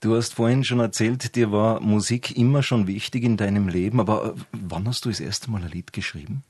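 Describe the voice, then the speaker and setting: A man's voice: lowish voice, brisk, a little mellow, breathy edge, no echo, studio quality. A man hosting a radio interview, introducing and questioning a guest.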